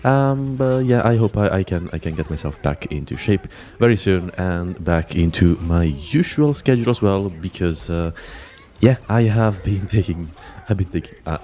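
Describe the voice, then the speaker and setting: A young man with a cold, narrating a scripted game guide in a steady, explanatory way. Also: low-pitched, a little hiss or hum. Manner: steady explanatory, then loose chatty sign-off. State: has a cold, voice off. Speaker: gaming channel host.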